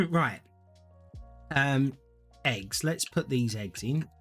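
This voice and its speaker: A man's voice: mid-range voice, lively intonation, drawn-out words, fairly bright tone, faint music behind. A man, chatting casually and thinking aloud as he streams a game to viewers. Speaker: gaming streamer. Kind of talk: casual live-stream gameplay commentary.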